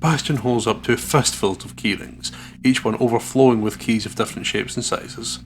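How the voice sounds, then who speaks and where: A man's voice: medium pitch, normal speed, a little hiss or hum. A man narrating a visual novel aloud as a let's player, with playful, casual and sweary commentary.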